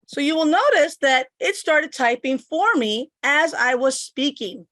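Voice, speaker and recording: Middle-aged woman from puerto rico, teacher demo at her computer, steady step by step, higher voice. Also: a little slow, dry recording. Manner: step-by-step, explains while clicking. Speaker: teacher giving a tech demo. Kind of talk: instructional screen demo. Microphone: computer microphone.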